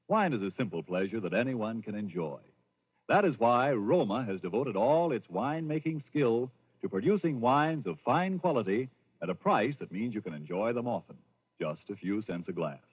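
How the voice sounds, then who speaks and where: A man's voice: on the low side, slightly rough. A male commercial announcer reading a 1940s radio sponsor advertisement with a polished, emphatic sales delivery. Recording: dull vintage radio broadcast recording.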